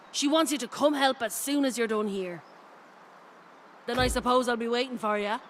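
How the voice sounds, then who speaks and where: A brash, high-voiced pirate rogue. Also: female voice, fairly bright tone, slight background noise. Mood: wry, teasing. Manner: wry, informal, teasing. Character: brash, roguish pirate woman on official errand. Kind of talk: scripted video game character dialogue.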